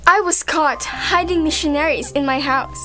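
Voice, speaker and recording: Woman playing frightened new girl in jail, anxious, very high voice, radio drama, faint music. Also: moderate pace, bright, forceful tone. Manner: pleading, anxious. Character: frightened, anxious newly jailed young woman. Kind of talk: amateur student radio drama acting.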